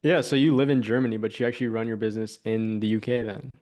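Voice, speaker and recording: A male interviewer with an energetic, rapid delivery. He fires off questions and quick affirmations to keep the conversation moving. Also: on the low side. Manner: upbeat, quick prompts and affirmations. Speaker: interview host. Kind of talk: casual interview.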